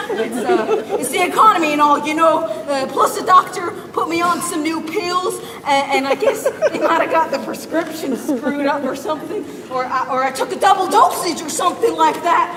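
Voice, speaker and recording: A woman playing an agitated older male button-store owner and retired cop, ranting and shouting at the crowd. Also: high voice, moderate pace, hardly any pauses, natural voice. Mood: sheepish. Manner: ranting, shouting, laughing, then sheepish. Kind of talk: live stage comedy play. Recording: roomy hall from far off, audience noise.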